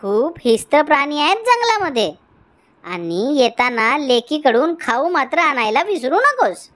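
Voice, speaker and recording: Small friendly forest rabbit: high-pitched, very animated, chatty sing-song cartoon delivery. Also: female voice, a little slow, full character voice. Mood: curious, friendly. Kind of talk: cartoon folk-tale acting.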